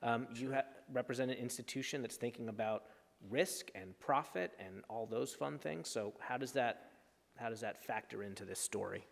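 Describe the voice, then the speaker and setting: A man with a mid-range voice moderates a panel, measured and steering each question. The sound carries the long reverb of a large hall. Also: mid-range voice, gravelly. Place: large auditorium.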